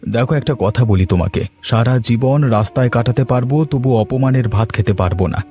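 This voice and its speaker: A mellow, quick male voice acted out as a proud, poor cartoon husband. He is earnest, resolute and reassuring, over faint music. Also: medium pitch, natural voice. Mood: wounded, proud. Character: proud, hopeful poor husband planning a new business. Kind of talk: cartoon dialogue acting.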